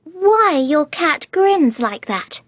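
A man's voice: extremely high pitch, big pitch swings, measured pace, a bit bright, no room sound, muffled recording, voice pushed up, heavily acted. A man voicing a polite but easily exasperated little girl in a children's storybook, earnest and plaintive. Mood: timid, polite.

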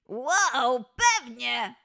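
A woman voicing a playful young male lion cub, eager and excitable, in a children's audio play. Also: sits high, drawn-out words, creaky, exaggerated voice.

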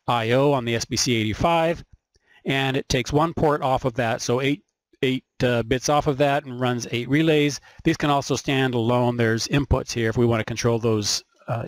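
A man's voice: medium pitch, dry recording, low-quality recording. Man casually explaining, close dry sound. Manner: unscripted, halting, thinking aloud. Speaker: electronics hobbyist presenter. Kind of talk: informal show-and-tell explanation of circuit boards.

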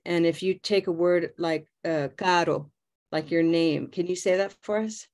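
A middle-aged woman teaching English pronunciation on a video call, modeling words slowly and prompting learners. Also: fairly low pitch, fairly even intonation, darkish tone. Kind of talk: slow, clear pronunciation coaching. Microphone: webcam on a video call.

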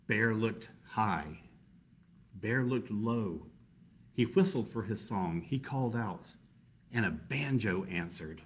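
A man with a fairly low, husky voice leads a children's storytime. He is casual and chatty, slipping between reading aloud and self-deprecating asides as he addresses his young audience. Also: animated, takes it slowly. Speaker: library storytime reader.

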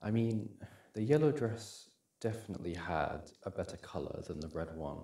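A young man performing casual ASMR boyfriend roleplay as an easygoing, affectionate partner, talking in a hesitant, halting way. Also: sits low, animated, mellow. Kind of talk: ASMR boyfriend audio roleplay, casual one-sided chat. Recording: clearly audible background noise.

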